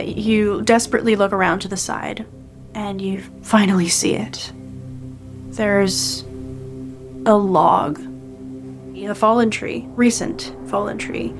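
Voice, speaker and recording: A woman narrating an improvised tabletop game as game master, pausing to think as she describes the scene. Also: medium pitch. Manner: halting, thinking aloud.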